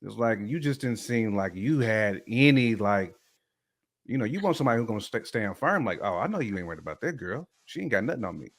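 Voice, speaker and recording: A man's voice: lowish voice, animated, fairly quick, slight background noise. A man chatting casually on a podcast, giving opinions in informal, slangy, emphatic phrases. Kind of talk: casual chat, opinionated commentary.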